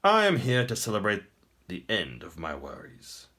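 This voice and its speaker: A man voicing a gloating, smooth-talking wizard emperor in a storybook, theatrical, grandly formal and mockingly courteous. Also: lowish voice, huge pitch swings, moderate pace, pushed and bright, exaggerated voice. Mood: smug, magnanimous. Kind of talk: audiobook character acting.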